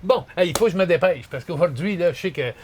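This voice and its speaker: A middle-aged man with a Quebec French accent, chatting casually as a podcast host in an excitable, colloquial, exclamatory and scattered way. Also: sits high, fast talker, a crowd in the background. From Quebec, Canada. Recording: clearly noisy background ambience. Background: ambient noise.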